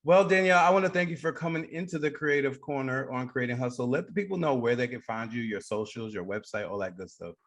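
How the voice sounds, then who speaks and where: A man's voice: mid-range voice, fast talker, hardly any pauses, a bit bright. A man hosting a creative business radio show, asking questions in a conversational interview style.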